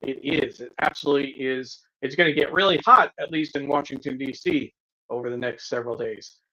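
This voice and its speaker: A man co-hosting a livestream, making announcements and greeting viewers in a casual, halting way. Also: mid-range voice, sharp tone. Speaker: co-host of a news discussion livestream. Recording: dull, lo-fi livestream audio.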